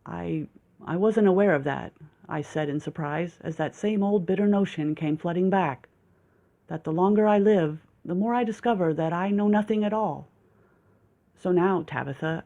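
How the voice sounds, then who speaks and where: Brief: male storyteller, wry first-person narration, higher pitch, muffled lo-fi sound. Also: warm tone. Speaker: audiobook narrator. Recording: dull lo-fi recording.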